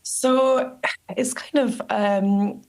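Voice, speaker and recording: A woman, an actress guest in a radio interview, speaking in a thoughtful, measured way. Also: on the high side, steady tone, darkish tone. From Scotland.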